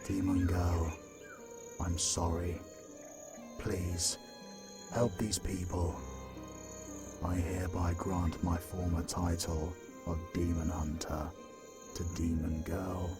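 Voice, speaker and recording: A man acting a weary, remorseful ancient god in a fantasy audio drama, speaking solemnly and gravely. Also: deep voice, little pitch movement, takes it slowly, long pauses, loud music behind the voice. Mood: grave farewell. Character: weary, remorseful ancient male creator god turned demon hunter.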